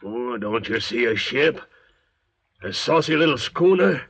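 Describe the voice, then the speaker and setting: An actor playing a bitter, suspicious convict thief in an old radio drama, husky and sneering. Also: male voice, medium pitch, lively intonation, a little slow, a bit bright, exaggerated voice. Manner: sneering, surly. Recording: dull old radio recording.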